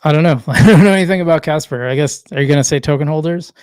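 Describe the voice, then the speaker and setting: Guy rambling on his stream, voice kinda going hoarse, dry close sound. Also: a little high, very fast, a little mellow, dry recording. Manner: rambling, self-correcting, reads chat comments aloud. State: losing his voice. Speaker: livestream host. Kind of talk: casual solo livestream chat.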